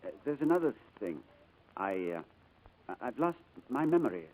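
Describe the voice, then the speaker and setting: An actor in a 1940s-style radio drama playing a gentle amnesiac man who speaks shyly, with a nervous stammer. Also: medium pitch, very animated, phone-quality sound. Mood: nervous, halting. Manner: hesitant, halting, stammering. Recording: old radio broadcast recording.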